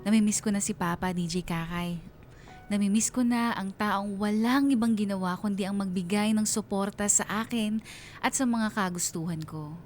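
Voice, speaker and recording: Young female storyteller, melancholy, sentimental, confiding radio drama narration, medium pitch, music underneath acted by a woman. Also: normal speed, a little mellow, close to an everyday voice. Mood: wistful, sad.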